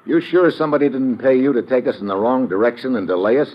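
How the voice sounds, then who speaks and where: An actor playing a wry, tough American government agent with brisk colloquial talk, in old radio adventure style. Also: male voice, medium pitch, lively intonation, natural voice. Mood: suspicious, terse. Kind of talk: 1950s radio adventure acting. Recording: dull, noisy old radio recording.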